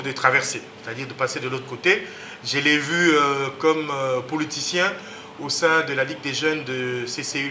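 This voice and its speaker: A man's voice: fairly high pitch, pushed and bright, slight background noise. A man hosting a TV talk show, speaking emphatically and pushing up on key words, with hesitant fillers. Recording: roomy studio echo.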